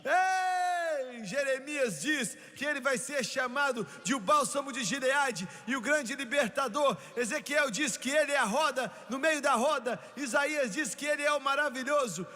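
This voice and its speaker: A man preaching a fervent revivalist sermon, shouting exultantly with emphatic repetition. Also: very high-pitched, moderate pace, hardly any pauses. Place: large reverberant hall with congregation. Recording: echoey hall, noisy crowd behind.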